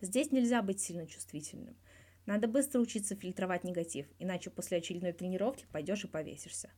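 A woman's voice: lowish voice, fast, dark tone, lightly acted. A woman voicing a guarded male hockey star in his thirties, talking candidly and wryly in a scripted interview from a fan fiction audio reading. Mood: reflective. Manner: candid, wry, colloquial with swearing.

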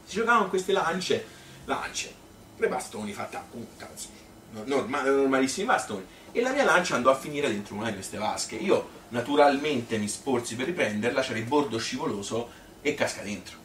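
A man playing a nostalgic Tuscan father who recalls his childhood in a halting, colloquial stage monologue. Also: mid-range voice. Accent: Tuscan Italian. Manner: halting, stuttering over words, colloquial, animated. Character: nostalgic Tuscan father born 1951, rambling childhood memories. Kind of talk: spoken theatre monologue, folksy reminiscence. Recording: roomy theatre, distant mic, faint noise.

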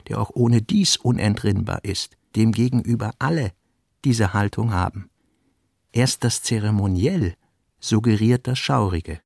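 A man narrating a literary radio drama, reading fragmentary notes in measured, clipped, deliberate phrases. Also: fairly low pitch, vocal fry, darkish tone, slightly rough, dry recording, studio quality.

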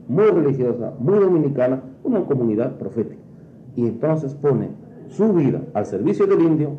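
A man giving explanatory commentary, didactic, building his points through repetition. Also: medium pitch. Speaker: expert commentator. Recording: dull, roomy, slightly noisy interview recording.

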